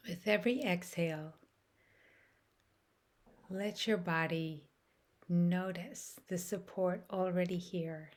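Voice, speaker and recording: Slow-paced woman guiding a meditation. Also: fairly low pitch. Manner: soft, soothing, measured phrasing with long pauses. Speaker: meditation guide and host. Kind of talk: guided meditation.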